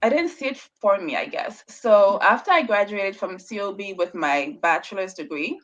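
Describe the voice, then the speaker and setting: A woman speaks as a guest researcher in a relaxed interview. She stutters and repeats words now and then, and laughs easily between sentences. Her voice sits at an ordinary middle pitch. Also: moderate pace, lo-fi sound. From Bahamas. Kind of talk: casual interview storytelling.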